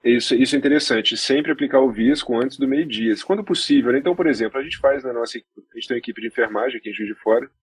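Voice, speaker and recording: Husky Brazilian man explaining calmly. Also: mid-range voice, no echo. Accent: Brazilian Portuguese. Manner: measured, explains step by step with restarts. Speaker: doctor guest. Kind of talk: explanatory podcast talk.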